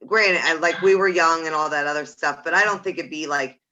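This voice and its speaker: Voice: medium pitch, fairly even intonation, brisk, bright, forceful tone, low-quality recording. A podcast co-host in casual chat, talking hesitantly and reflectively with many fillers.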